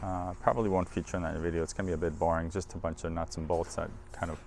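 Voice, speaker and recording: A man's voice: low voice, flat delivery, quick pace, gravelly, darkish tone, a little hiss or hum. A man presenting audio equipment in a casual hands-on demo, informal and thinking aloud as he handles the machine.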